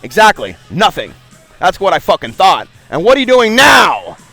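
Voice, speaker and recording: A man's voice: high-pitched, wildly swooping pitch, normal speed, gravelly, pushed and bright, soft background music. A man delivering an impassioned, preachy monologue as an online video host, with a confrontational, grandiose and profane manner.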